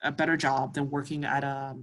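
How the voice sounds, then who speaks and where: A woman's voice: extremely low pitch, flat delivery, a little fast, a bit bright. Canadian musician lady chatting away in an interview, tons of fillers. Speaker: musician guest. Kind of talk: casual interview chat.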